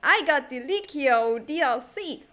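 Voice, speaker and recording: A high, bright voice of a sixteen-year-old academy boy, acted by a performer. He is earnest and easily frustrated, speaking at a slow pace in an animated comic drama. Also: heavily acted. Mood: cheeky, animated. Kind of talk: animated comic fan dub.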